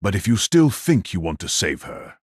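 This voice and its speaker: A man voicing a wicked, cold old sorcerer patriarch in an audiobook drama, speaking in a slow, mocking, condescending way. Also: sits low, very animated, slightly rough, no echo, studio quality, heavily acted. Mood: gloating.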